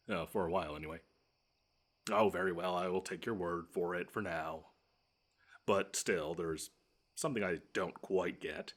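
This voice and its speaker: Audio roleplay monster, male actor: very low voice, smug, taunting, theatrical, menacing but quick to get flustered. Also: a bit bright. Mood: grudging, skeptical. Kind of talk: scripted one-sided audio roleplay.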